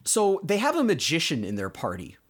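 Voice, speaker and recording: A man's voice: fairly high pitch, huge pitch swings, fairly quick, breathy edge. A man hosting a podcast, casually recapping a cartoon episode in a conversational way with explanatory asides. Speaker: podcast host.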